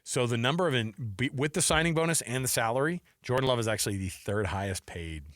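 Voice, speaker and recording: A man's voice: mid-range voice, fast talker, a bit bright, no echo. A man co-hosting a sports radio show, talking casually as he runs through lists, close on a studio microphone. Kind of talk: casual sports talk, running a list quiz.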